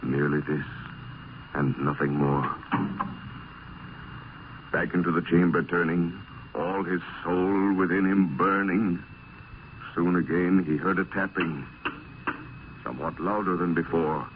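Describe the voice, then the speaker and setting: A man's voice: low-pitched, steady tone, a little slow, long pauses. A man narrating as a dramatic reciter of poetry in old-time radio style, solemn and declamatory. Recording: narrow, noisy vintage radio recording.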